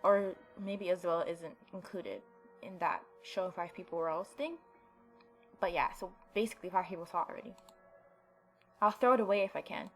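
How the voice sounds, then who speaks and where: A woman's voice: on the low side, a little fast, faint music behind. A woman hosting a gaming video, reading visual novel narration aloud in a dramatic storytelling way with playful asides.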